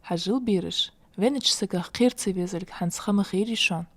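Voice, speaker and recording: A woman voicing a kindly, patient grandmother in a cartoon dub, speaking in a measured, instructive way. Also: medium pitch, natural voice. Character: kindly, patient grandmother who teaches children.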